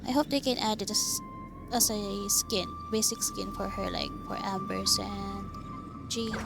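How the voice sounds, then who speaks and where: Girl gamer chatting to herself while playing, slow pace, lots of vocal fry, game music going. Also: mid-range voice. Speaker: gaming streamer. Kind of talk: casual game playthrough commentary.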